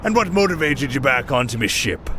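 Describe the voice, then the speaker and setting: Menacing old sea captain voiced by a man, lively pitch swings, slow taunts, fantasy audio drama over noisy ambience. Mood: menacing, amused. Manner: taunting, unhurried, archaic sailor speech. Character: menacing salty old male pirate captain. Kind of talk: fantasy audio roleplay acting.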